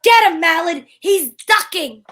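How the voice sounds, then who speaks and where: A woman playing a brisk, bossy, wry female detective who narrates her case in old-time radio drama style. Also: very high voice, animated, fairly bright tone, heavily acted. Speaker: narrator and lead actor.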